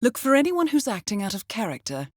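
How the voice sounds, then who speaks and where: An actress playing a poised, dryly amused intelligence handler who briefs an assassin in a video game, measured and formal. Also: female voice, fairly high pitch, sing-song, darkish tone, no room sound, clean studio sound. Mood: composed briefing. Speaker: mission handler.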